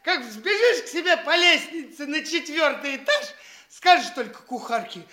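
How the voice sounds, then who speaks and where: A man's voice: very high-pitched, expressive, moderate pace, pushed and bright, exaggerated voice. An actor playing a boastful, foppish young man in a theatrical stage comedy, gushing and stammering over his own boasts. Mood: tipsy grandiose bragging.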